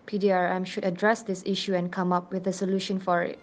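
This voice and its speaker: A woman's voice: fairly low pitch, little pitch movement, fast. A woman giving a short opinion statement as an interviewee. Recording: echoey room with music behind.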